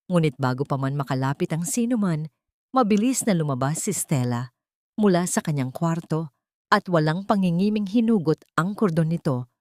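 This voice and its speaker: A woman with a Filipino accent narrating a dramatic scripted audio story in a solemn, deliberate, suspenseful manner. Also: low voice, darkish tone, no echo, studio quality.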